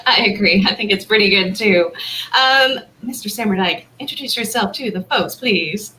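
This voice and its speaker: A woman's voice: medium pitch, unhurried, long pauses, bright, forceful tone, a little hiss or hum, rough recording. A woman hosting a panel chat, enthusiastic and gushing over her guests. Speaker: host.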